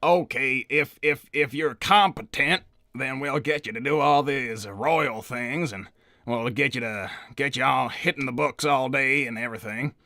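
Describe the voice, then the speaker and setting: Man voicing a flustered, bright-toned older cartoon hedgehog. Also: medium pitch, unhurried, no room sound. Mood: candid. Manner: hesitant, rambling, stammering, apologetic. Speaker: interviewee. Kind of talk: improvised in-character interview.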